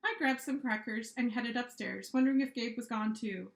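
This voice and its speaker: A woman reading a children's story aloud as an author, with expressive narration. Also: a little high, moderate pace, gravelly, slight background noise. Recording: echoey room.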